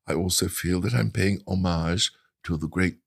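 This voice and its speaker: An older man of about seventy with an English accent, telling anecdotes in a reflective, articulate and measured way as an interview guest. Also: deep voice, fairly even intonation, creaky. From England. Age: older, around 70. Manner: articulate, measured storytelling with precise wording. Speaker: interview guest, translator and writer.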